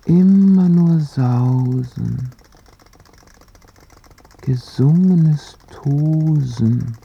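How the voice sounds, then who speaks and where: A woman narrating a poetic radio drama in a slow, hushed and reflective way. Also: deep voice, gravelly, slightly rough, muffled recording.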